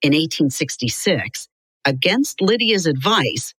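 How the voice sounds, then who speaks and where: A woman narrating a scripted children's biography in an expressive, clearly articulated storytelling style. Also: low-pitched, unhurried, no room sound.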